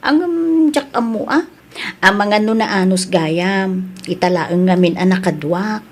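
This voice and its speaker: A woman's voice: mid-range voice, unhurried, natural voice. A Filipino woman with an Ilocano accent playing a meek, apologetic young new employee in a comedy-drama, hesitant and deferential. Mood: weary, worried.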